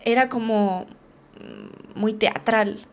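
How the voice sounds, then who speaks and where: A woman's voice: mid-range voice, takes it slowly, gravelly. A woman, a therapist and guest, frankly telling a personal story in an interview, with restarts and many tag questions.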